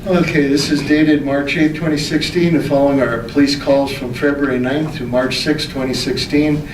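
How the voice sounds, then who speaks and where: A man's voice: medium pitch, steady tone, moderate pace. Man chairing a meeting, echoey and distant. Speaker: mayor chairing a city council meeting. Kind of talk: formal meeting chairing, reading reports aloud. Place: indoor council meeting room. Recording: echoey meeting room, distant mic, background noise.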